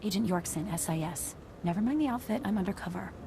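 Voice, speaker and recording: A businesslike female undercover agent in a video game. She speaks at a middling pitch in a brisk, matter-of-fact way over a clear background rumble. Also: lively intonation. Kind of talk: scripted video game dialogue.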